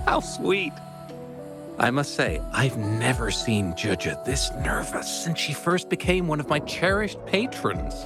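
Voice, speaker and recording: A man's voice: medium pitch, very animated, slow, music in the background. An actor playing a chatty, theatrical male shopkeeper who sells ore and pigments in a video game. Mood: teasing, amused. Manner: theatrical, teasing, talkative.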